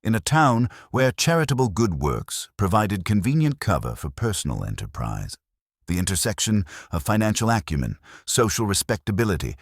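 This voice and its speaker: A man narrating a mystery story in a measured, formal, literary manner. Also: low voice, no room sound, clean studio sound. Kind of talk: scripted audiobook-style narration of a mystery story.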